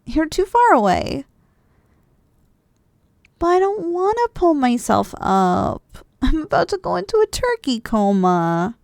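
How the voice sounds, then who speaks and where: Drowsy, affectionate wife with a lilting high voice, acted by a woman. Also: very animated, slow, vocal fry, long pauses, dry recording, clean studio sound, full character voice. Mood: sleepy, playful. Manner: soft, affectionate, teasing, with sighs and giggles. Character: affectionate, drowsy, playful wife cuddling after a big dinner. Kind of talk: ASMR roleplay, intimate one-sided dialogue.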